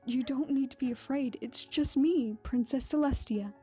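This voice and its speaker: A woman playing a calm, kindly royal pony ruler in an audio drama, speaking in a gentle, measured and reassuring way. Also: sits high, close to an everyday voice. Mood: gentle, caring. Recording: muffled, dull audio under music.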